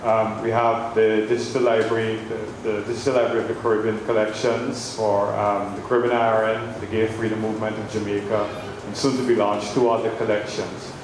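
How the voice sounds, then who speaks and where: A man's voice: fairly low pitch, little pitch movement, fairly quick. A man from Guyana, speaking hesitantly with frequent ums in a speech at a public event. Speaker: board member giving thanks. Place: large echoey room at a public event. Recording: very echoey hall, clear background noise.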